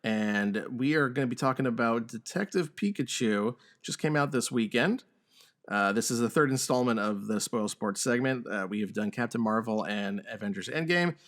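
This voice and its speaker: A man's voice: medium pitch, lively intonation, normal speed, a bit bright. A man hosting a podcast, chatting casually about games and film and swearing freely. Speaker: podcast host.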